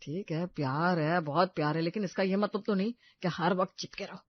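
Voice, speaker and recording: A woman's voice: on the low side, a little fast, no room sound, lo-fi sound, natural voice. A woman voicing an embarrassed, henpecked young boyfriend who pleads and soothes with resigned patience in an audio drama.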